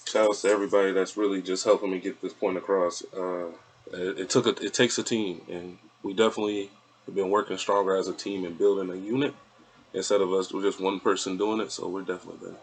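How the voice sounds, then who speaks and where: A man's voice: deep voice, level tone, fast, rough recording. A man, talking casually and laid-back as an interview guest, rambling a little. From Flint, Michigan, United States. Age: 20s. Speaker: interview guest, event promoter.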